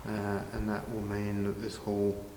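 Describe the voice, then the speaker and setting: Interviewee: older man, very low voice, halting and measured, recorded in a reverberant hall. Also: completely flat delivery. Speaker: interviewee, village pantomime organiser. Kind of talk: informal interview answers. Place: large village hall, indoors. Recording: very echoey hall, faint noise.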